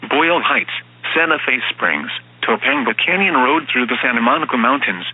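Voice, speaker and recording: A man's voice: mid-range voice, husky. Tinny synthetic male weather alert voice. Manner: flat, even, computerized delivery. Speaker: automated weather radio announcer. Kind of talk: synthetic emergency broadcast reading. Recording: tinny radio band with faint hum.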